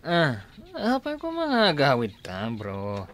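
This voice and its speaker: A man's voice: on the high side, wildly swooping pitch, slow pace, full character voice. A man in a radio drama playing a boisterous, pushy drinking buddy who laughs and teases. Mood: teasing. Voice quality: loud, laughing, teasing.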